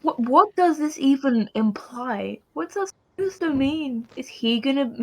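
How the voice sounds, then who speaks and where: A woman's voice: sits high, animated, measured pace, mellow. A woman giving casual, joking commentary on a friend's game over voice chat, giggly and playfully baffled. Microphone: online voice chat.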